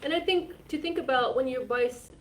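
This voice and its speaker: A woman's voice: high-pitched, moderate pace. A woman from Finland, co-hosting a casual live chat in an earnest, talkative way, with plenty of "like" and restarts. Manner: earnest, chatty, with frequent "like" and restarts. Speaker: co-host. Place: community repair centre. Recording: distant mic with faint background noise.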